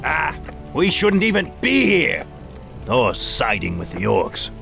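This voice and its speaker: A grumbling, uneasy human soldier in a fantasy game. His voice is creaky and lively in pitch, with music behind it. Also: slow pace, exaggerated voice. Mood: disgruntled. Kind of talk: fantasy game cutscene acting.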